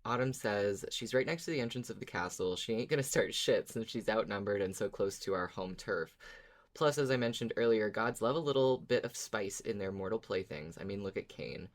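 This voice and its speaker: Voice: mid-range voice, animated, fairly quick. A podcast co-host in casual discussion, arguing a case conversationally and at times reading out comments.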